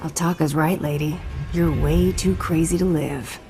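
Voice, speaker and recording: A woman's voice: sits low, a little slow, soft background music. A woman voicing a dry, unflappable female bounty hunter. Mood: deadpan. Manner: dry, deadpan.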